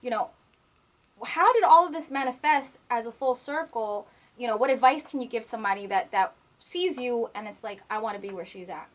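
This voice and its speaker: Woman, fairly high voice, chatty, playful interviewer. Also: lively intonation, brisk. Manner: chatty, playful, laughs often. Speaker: interview host. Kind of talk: casual interview chat.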